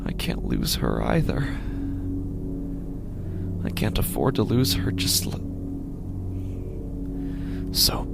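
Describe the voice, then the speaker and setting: A man playing an anguished, sleepless, guilt-ridden father in a horror audio drama, halting and breathy, swinging between hushed and intense delivery. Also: deep voice, exaggerated voice. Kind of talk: dramatic horror audio narration in first person.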